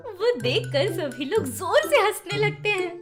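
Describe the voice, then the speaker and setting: A woman's voice: very high voice, very animated, measured pace. A woman narrating a scripted children's story as the storyteller. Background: music.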